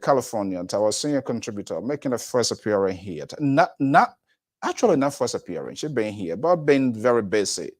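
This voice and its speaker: Male talk host, lively pitch, rambling live delivery. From Liberia. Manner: rambling, animated delivery with restarts. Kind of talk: live panel show hosting.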